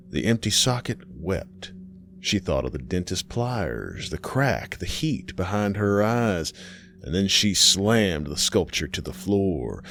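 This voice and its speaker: A man reading a scripted horror story in a dramatic, measured way, pausing between phrases. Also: sits low, a bit bright, slight background noise.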